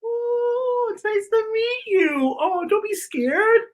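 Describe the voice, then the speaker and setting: A woman's voice: very high-pitched, expressive, slow. A woman hosting a children's story time in a playful, theatrical, exclamatory way with campy sass and bits of singing. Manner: campy drag-style sass. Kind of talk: playful kids' show presenting with singing.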